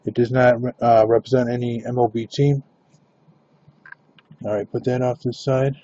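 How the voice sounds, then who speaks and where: A man hosting a live trading card break, announcing cards and teams in a steady, matter-of-fact way. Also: mid-range voice, moderate pace, highs cut off, slight background noise.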